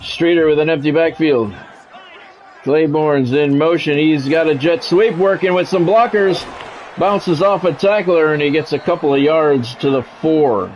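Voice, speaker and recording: A man's voice: on the high side, measured pace, a little hiss or hum, low-quality recording. A middle-aged American man with a blunt, grumbling manner, switching between casual profane chat and sports play-by-play on a livestream. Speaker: game streamer and sports play-by-play announcer.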